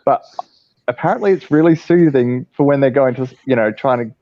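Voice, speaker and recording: A man chatting on a live stream in a soft, hesitant way, with many fillers. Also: medium pitch, normal speed, no room sound, muffled recording. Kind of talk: casual chat.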